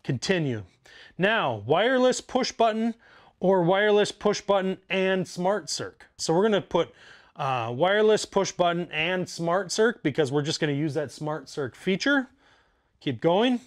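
Easygoing plumber walking through setup. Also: male voice, high voice, big pitch swings, a little slow. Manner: practical, walks through steps out loud. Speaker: plumber demonstrating an install. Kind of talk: casual how-to demonstration. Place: basement utility room, indoors.

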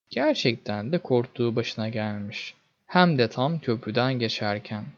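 Animated male fairy-tale narrator, slightly muffled. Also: medium pitch. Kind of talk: scripted storytelling narration.

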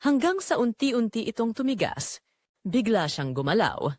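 Woman acting as dramatic confessional wife, lively pitch. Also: unhurried, dry recording, studio quality. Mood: intense, confessional. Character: married mother narrating a secret confession. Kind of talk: scripted first-person audio drama narration.

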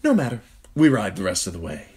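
A man's voice: lowish voice, wildly swooping pitch, fast, a little mellow, slightly rough, pitched above the performer's own voice, full character voice. A man voicing a sly, glib male nobleman who teases in a sardonic way. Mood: mocking. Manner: teasing, sardonic.

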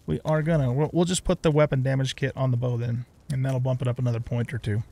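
A man's voice: mid-range voice, flat delivery, fast, vocal fry, warm tone. A middle-aged man giving casual let's play commentary, rambling as he thinks aloud.

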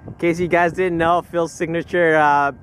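A man's voice: on the high side, flat delivery, moderate pace. A young man fishing from a riverside dock, chatting casually, laughing often and explaining tips to viewers, recorded on a body-worn action camera. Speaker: angler filming the outing. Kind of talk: casual outdoor fishing chat. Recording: outdoor camera audio, noise behind.

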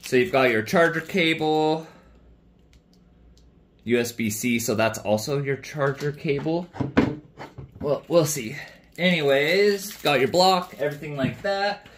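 A man's voice: on the high side, unhurried, pauses often. A man giving casual, slangy, off-the-cuff commentary as he reviews a product. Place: small indoor room with shelves of speakers.

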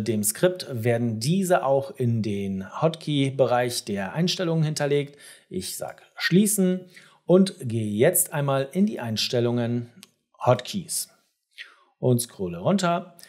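A middle-aged man with a husky voice walks the viewer through software step by step. His pitch moves up and down in a lively way as he explains and addresses the listener directly. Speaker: tutorial presenter.

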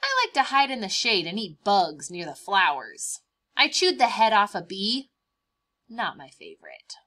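A woman voicing a cheerful, boastful young praying mantis in a picture-book read-aloud, expressive and emphatic, stretching out words. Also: medium pitch, moderate pace. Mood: cheerful, dramatic.

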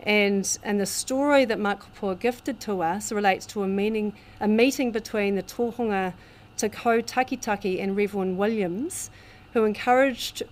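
A woman introducing a guest speaker in a halting way with many fillers, in a meeting room. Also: medium pitch. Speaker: event host introducing the speaker. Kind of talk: spoken introduction.

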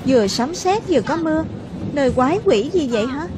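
A woman dubbing a young, excitable adventurer in an animated fantasy cartoon. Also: high-pitched, a little fast, background noise. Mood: lively. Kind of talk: Vietnamese cartoon dub.

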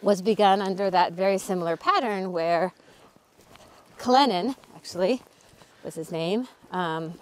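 A woman's voice: medium pitch, a little slow, slight background noise. A middle-aged woman hosting a casual walking talk outdoors, explaining history with frequent ums. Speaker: author and channel host. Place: outdoors on a cold hillside.

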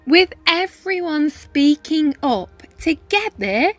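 A woman reading a children's picture book aloud in an expressive, animated manner with dramatic pauses and emphasis. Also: high voice, dry recording, soft background music. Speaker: read-aloud storyteller, teacher-style reader.

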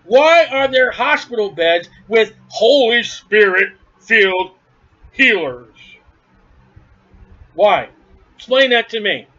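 A man's voice: sits high, animated, slow, long pauses, pushed and bright, dull audio. A man preaching a sermon from home, blunt, scornful and emphatic.

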